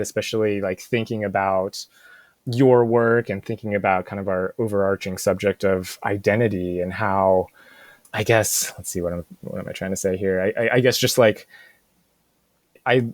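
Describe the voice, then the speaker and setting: An American man in his thirties chats casually and reflectively, often pausing to search for words and saying "like" a lot. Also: on the low side, no echo. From Seattle suburbs, United States. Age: 30s. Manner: halting, searching for words, frequent "like". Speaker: podcast co-host, bookstore manager.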